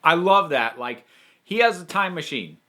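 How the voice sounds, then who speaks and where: Guy doing a casual review, kinda high bright voice, really expressive and into it. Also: moderate pace. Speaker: host and reviewer. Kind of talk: casual review monologue to an audience.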